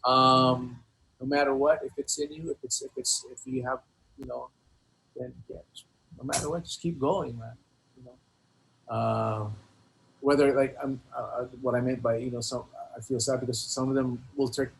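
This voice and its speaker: A man speaks in a loose, conversational interview style. He is earnest and halting, circling back over his phrases, and there is some audible noise behind him. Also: mid-range voice, steady tone, gravelly, fairly bright tone, rough recording. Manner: rambling, earnest, halting, many repeated phrases. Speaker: hip-hop artist being interviewed. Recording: noticeable background noise.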